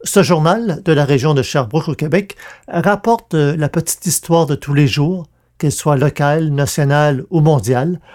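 Male podcast host, slightly high register, scripted announcements. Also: moderate pace, darkish tone.

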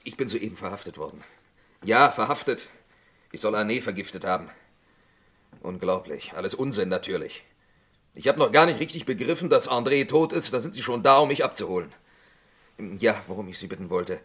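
An actor playing an accused lab worker in a vintage radio crime drama, formal, hesitant and protesting. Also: male voice, on the low side, lively intonation, fairly quick, fairly bright tone. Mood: indignant, shaken. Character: accused male lab worker, defensive and evasive. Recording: roomy old radio play recording.

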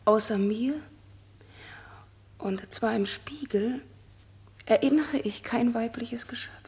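An actress playing an innocent, lovestruck young maiden in a German radio drama, soft and earnest with tender emotion. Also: female voice, on the high side, takes it slowly, slightly rough, exaggerated voice. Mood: shy, wondering.

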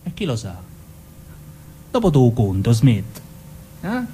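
A man playing a menacing, controlling criminal ex-husband in a radio drama, husky-voiced, insistent and coaxing in colloquial speech. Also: mid-range voice, huge pitch swings, takes it slowly, dark tone, slight background noise. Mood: cold, menacing. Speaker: actor in a dramatic reading. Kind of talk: dramatic radio play dialogue.

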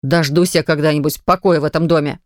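A woman's voice: deep voice, fairly even intonation, fast, no room sound, studio quality, voice pushed down, close to an everyday voice. A woman voicing an irritable, tired father who lectures his young son in a children's storybook. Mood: exasperated. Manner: stern, exasperated, lecturing. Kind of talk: audiobook character reading.

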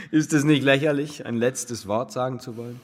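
A man's voice: medium pitch, moderate pace, natural voice. A man acting a penniless, dreamy lodger in a German radio play, theatrical and philosophizing with bitter irony. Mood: bitterly amused. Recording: long hollow reverb.

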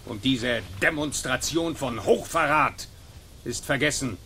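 An actor playing a haughty, easily offended governor in a pompous, theatrical German radio drama style. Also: male voice, medium pitch, a little slow, pushed and bright, slight background noise. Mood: indignant. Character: haughty, offended male governor, grudgingly yielding.

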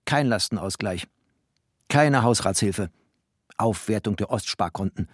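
A man reading literary prose as a narrator, with an expressive, sardonic and dramatic delivery. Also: on the low side, unhurried, no room sound, clean studio sound.

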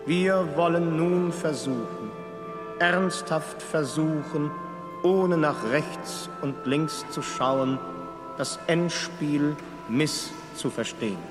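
A solemn, deliberate recitation for an absurdist radio play. The voice is slightly high for a man and echoes in a long reverb, with music clearly behind.

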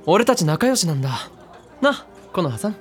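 A man's voice: sits high, big pitch swings, normal speed, a faint crowd. A man playing a confident, pushy younger teenage boy with casual slangy speech in a Japanese anime audio drama. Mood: friendly.

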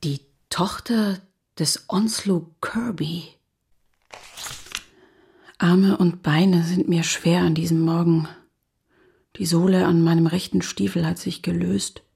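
Radio play: uneasy lone female wanderer, hushed, very breathy, slow and weary. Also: on the low side, steady tone, heavily acted. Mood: brooding. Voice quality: breathy, husky, half-whispered. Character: weary, uneasy woman wandering alone in a forest. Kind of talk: German radio play, close inner narration.

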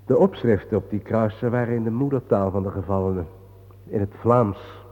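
An actor playing a grave, world-weary man guiding his friend through war cemeteries in a solemn, measured, sardonic radio-play manner. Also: fairly low pitch, lightly acted. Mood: somber. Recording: muffled phone-like old radio recording, reverberant.

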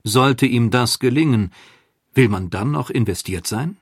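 A man narrating a historical audio documentary from a script, in a measured, articulate way. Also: mid-range voice, expressive, slightly rough, no echo, clean studio sound.

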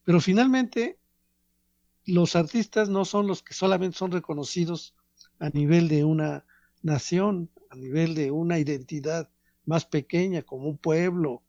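Measured professorial man. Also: a little high, darkish tone, no room sound, dull audio. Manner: measured, professorial, pauses between phrases. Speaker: radio commentator. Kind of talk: reflective radio talk on art.

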